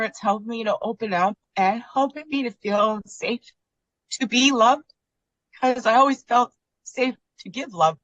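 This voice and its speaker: A woman's voice: on the low side, a bit bright, no room sound, poor audio. A woman sharing personal feelings over a video call in a heartfelt, rambling way, close to tears. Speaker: guest member.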